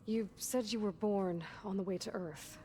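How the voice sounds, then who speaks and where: Woman acting steady young game heroine, music behind. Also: medium pitch, lightly acted. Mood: probing. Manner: direct, measured questioning. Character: steady, probing young heroine, blunt but caring. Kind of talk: video game dialogue acting.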